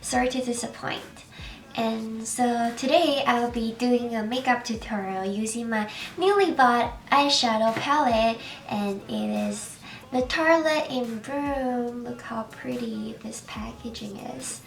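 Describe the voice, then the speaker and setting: A young woman in her twenties with a Chinese accent, narrating a makeup tutorial in a soft, chatty and slightly self-conscious way. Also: fairly high pitch, unhurried, slight background noise. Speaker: beauty vlogger. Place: small bright room.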